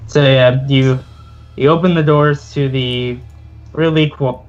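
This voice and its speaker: A man's voice: mid-range voice, steady tone, slow pace, soft background music. A man casually narrating a tabletop game as game master. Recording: muffled, noisy home recording.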